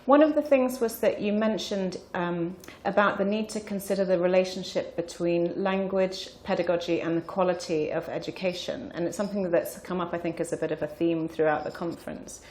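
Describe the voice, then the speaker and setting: A woman's voice: on the low side, steady tone, a little fast, hardly any pauses, a little mellow, roomy sound. A woman interviewing a guest, friendly and encouraging, giving frequent backchannels. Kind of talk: conference interview.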